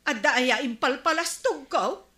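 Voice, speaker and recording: A woman's voice: sits high, expressive, measured pace, pushed and bright, heavily acted. An actress playing a hurt, brooding village woman in a Filipino comedy radio drama, with an Ilocano accent. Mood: concerned. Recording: roomy, echoing studio sound.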